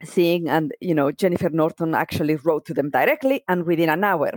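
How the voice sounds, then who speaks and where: A woman's voice: sits low, a little fast, darkish tone, no echo, clean studio sound. A middle-aged woman from Spain, a native Spanish speaker, chatting casually and telling stories by acting out what people said. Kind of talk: casual chat.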